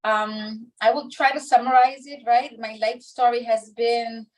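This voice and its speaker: Distant middle-aged woman, halting storyteller. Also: a little high, steady tone. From Dominican Republic. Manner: halting, many restarts and pauses. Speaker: interview guest. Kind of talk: reflective personal storytelling.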